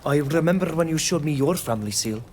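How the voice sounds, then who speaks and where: A man's voice: on the high side, animated, quick pace, darkish tone, a little hiss or hum, close to an everyday voice. A man playing a resolute, curious young islander villager in a video game, speaking plainly and earnestly. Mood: determined. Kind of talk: video game dialogue acting. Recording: music playing under the voice.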